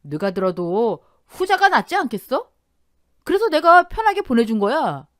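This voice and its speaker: Woman doing an older stepfather, cruel, mocking, lively swooping pitch, dry close sound. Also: normal speed, no room sound. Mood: cold sneering. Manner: mocking, taunting.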